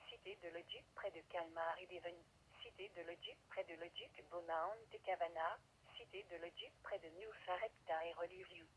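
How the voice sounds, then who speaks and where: A synthetic-sounding woman reads a scripted emergency weather alert in a formal, measured way over weather radio. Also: lowish voice, low-quality recording. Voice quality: synthetic text-to-speech voice. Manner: formal, measured list reading. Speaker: automated weather alert announcer. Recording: hissy weather radio broadcast.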